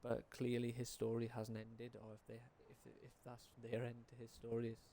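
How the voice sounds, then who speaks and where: A man's voice: medium pitch, completely flat delivery, moderate pace, mellow, slight background noise. A young adult man giving casual, unscripted game commentary as a video creator, informal, tentative and rambling.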